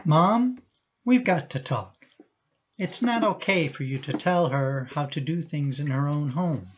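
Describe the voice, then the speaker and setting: An actor playing an earnest middle-aged husband and son in a radio play, firm and protective. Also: male voice, a little high, a little slow, slight background noise, close to an everyday voice.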